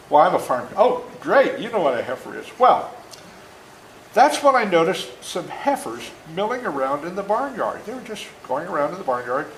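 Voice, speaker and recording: An older man reads his own story aloud to children in an animated, folksy way, stopping for asides to explain things. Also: on the high side. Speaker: author reading his own book to schoolchildren. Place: indoor room at a school. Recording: echoey room with faint background noise.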